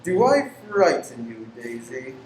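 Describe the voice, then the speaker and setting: Woman putting on a very deep, sinister gentleman lodger. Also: sing-song, exaggerated voice. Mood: creepy, polite. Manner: slow, formal, menacing. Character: sinister, genteel, squeamish male lodger, secretly a killer. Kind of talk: radio play acting. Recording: roomy, echoing, faint background noise.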